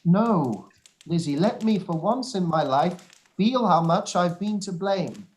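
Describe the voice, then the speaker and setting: A man acts an older gentleman father in a radio play, fairly light in pitch for the part. He is weary and wry, delivering his words with dry deliberation. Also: lively intonation, slow. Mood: weary, subdued. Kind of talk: radio play live read.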